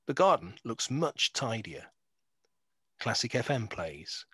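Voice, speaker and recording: A man's voice: medium pitch, sing-song, slow, a bit bright, breathy edge, no echo, clean studio sound, exaggerated voice. A man narrating an audio play, reading out scripted stage directions.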